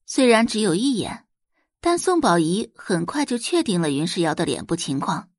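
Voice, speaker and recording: A woman's voice: mid-range voice, sing-song, moderate pace, no echo, clean studio sound. A woman narrating a novel as an audiobook, in a dramatic scripted storytelling style.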